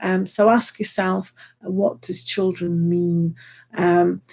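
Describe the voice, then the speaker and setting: A woman reading tarot cards live for viewers, chatty and full of ums, her voice hoarse from hours of talking. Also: lowish voice, steady tone, slow pace, a little mellow. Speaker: tarot reader.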